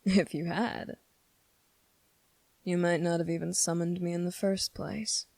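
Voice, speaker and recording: A woman voicing a sardonic, condescending demon in an ASMR roleplay, speaking deliberately with drawn-out pauses. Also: on the low side, level tone, gravelly, darkish tone, no echo. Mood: condescending, amused. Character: sardonic, condescending demon summoned to make a deal. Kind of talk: ASMR roleplay, dramatic monologue to listener.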